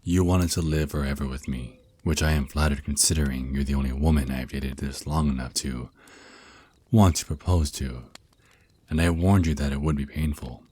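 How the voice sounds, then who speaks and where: A man's voice: rumbling low, fairly even intonation, slightly rough. A man voicing a teasing, protective vampire naga boyfriend who mentors his lover in an intimate, reassuring ASMR roleplay. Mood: teasing, smug. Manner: soft teasing endearments, reassuring, unhurried. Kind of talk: ASMR roleplay, intimate one-sided dialogue.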